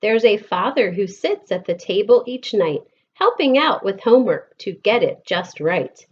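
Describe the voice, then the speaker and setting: A woman with an American accent reads a picture book aloud to children with expressive, deliberate storybook phrasing, like a schoolteacher. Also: mid-range voice, husky, lo-fi sound. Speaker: elementary school teacher reading aloud.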